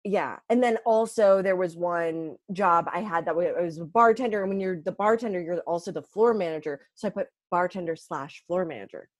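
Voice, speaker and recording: A woman's voice: on the low side, no room sound. Dry-voiced woman comedian. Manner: laid-back, halting with fillers. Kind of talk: casual chat.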